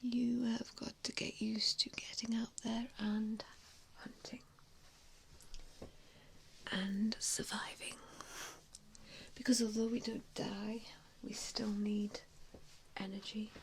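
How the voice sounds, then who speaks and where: A woman whispering an ASMR roleplay as a gentle vampire mentor, with a hesitant, reassuring and softly spoken manner. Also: medium pitch, flat delivery, slow pace, long pauses, slight background noise, poor audio. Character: gentle, self-doubting female vampire mentor to new recruits.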